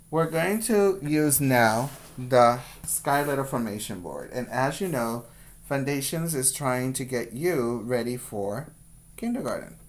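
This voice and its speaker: A man working as a preschool teacher, giving simple step-by-step instructions in a lesson for young children. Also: mid-range voice. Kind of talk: read-aloud and handwriting lesson for young children.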